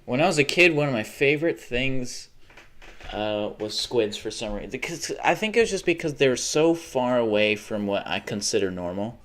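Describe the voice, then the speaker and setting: A man's voice: mid-range voice, animated, moderate pace, fairly bright tone. A young man livestreaming a video game, rambling casually, swearing often and laughing at his own jokes. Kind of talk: casual live gaming commentary.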